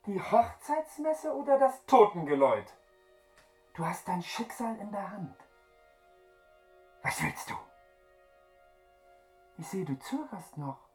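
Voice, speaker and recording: Casting: deranged lovesick phantom, radio play; slow, theatrical menace, hugely varied pitch, music underneath. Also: male voice, full character voice. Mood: menacing, taunting. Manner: theatrical, wildly swinging from whispers to high calls. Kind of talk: radio drama acting.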